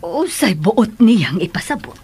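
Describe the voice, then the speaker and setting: An actress playing a concerned madam advising a younger woman in a radio drama, measured, with a Cebuano Filipino accent. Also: medium pitch, very animated, darkish tone, husky, heavily acted. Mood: probing, thoughtful.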